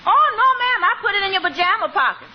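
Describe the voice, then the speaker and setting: An actress playing a good-natured, giggly maid with a coy, deferential manner in 1940s radio comedy. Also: female voice, extremely high pitch, big pitch swings, fast talker. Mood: coy, amused. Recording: dull, noisy 1940s radio recording.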